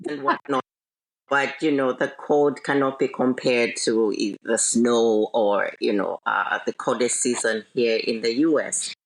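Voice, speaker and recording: A middle-aged woman from Zambia, a podcast guest, explaining things in a measured, conversational way with frequent fillers. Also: rumbling low, low-quality recording. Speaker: podcast guest, diplomat's spouse. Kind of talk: conversational interview.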